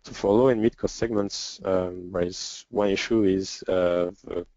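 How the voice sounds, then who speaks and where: A man with a French accent answering questions as a developer in an informal webinar, speaking hesitantly with frequent pauses. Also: deep voice, steady tone, dark tone, no echo. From France. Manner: hesitant, halting, with frequent pauses and throat clearing. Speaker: software developer and webinar presenter. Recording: dull lo-fi webinar audio.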